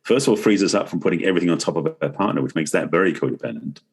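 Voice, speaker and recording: A man's voice: deep voice, lively intonation, racing, breathy edge. A middle-aged man explaining things conversationally as an expert guest in an interview, often rephrasing as he goes. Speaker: podcast guest, relationship expert and author.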